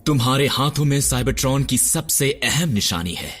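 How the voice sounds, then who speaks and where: A man voicing a gruff older male robot medic in a cartoon dub, serious as he explains. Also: medium pitch, lively intonation, moderate pace, fairly bright tone.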